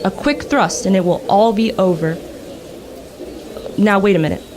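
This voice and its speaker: A woman's voice: medium pitch, normal speed, close to an everyday voice. A woman playing an eyewitness field reporter in a 1930s-style radio drama, describing the scene with rising alarm. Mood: tense. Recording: student radio play with clear background noise.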